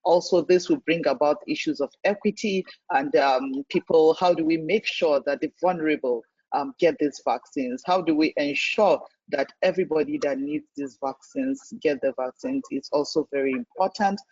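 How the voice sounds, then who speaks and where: Husky-voiced man moderating a panel. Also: fairly high pitch, darkish tone, dry recording. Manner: measured, frequent "um" fillers. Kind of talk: panel discussion remarks. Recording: dry, muffled online call audio.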